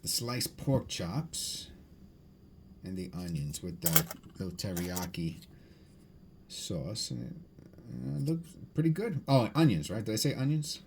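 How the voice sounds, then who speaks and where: A man with a low voice talks in a loose, rambling way, as a hobby video host does while busy with his hands. He muses aloud, repeating and correcting himself as he goes. The recording carries a faint layer of noise. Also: animated, takes it slowly, gravelly, pauses often. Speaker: coin hunting video host. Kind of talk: rambling casual chat while sorting coins.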